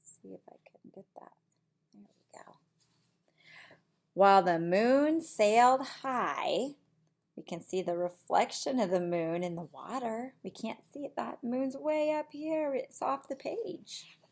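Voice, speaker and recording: A middle-aged woman reading a picture book to young children in a deliberate, sing-song way, with simple asides, recorded in a room at home. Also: fairly high pitch, vocal fry, darkish tone. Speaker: preschool teacher reading aloud. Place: small indoor room at home.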